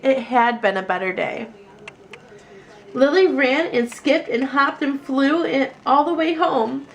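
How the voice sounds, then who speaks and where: A woman reading a children's picture book aloud in an animated storytelling style. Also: sits high, takes it slowly, slight background noise, poor audio.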